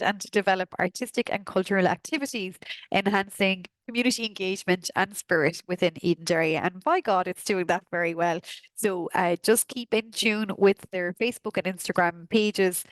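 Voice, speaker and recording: A woman from Kerry with a Kerry Irish accent, hoarse from a sore throat, chattily walking through an events newsletter. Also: fairly low pitch, no echo, poor audio. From Kerry, Ireland. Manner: chatty, friendly, frequent ums and uhs. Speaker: arts office presenter.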